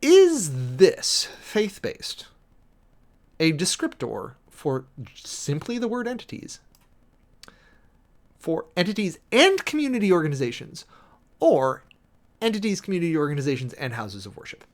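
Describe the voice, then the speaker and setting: A man's voice: on the high side, wildly swooping pitch. A man livestreaming a dramatic reading with close commentary, theatrical and emphatic, stretching words out and breaking into wry asides. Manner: theatrical, emphatic, with drawn-out words and sudden swells. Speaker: livestream host, preacher.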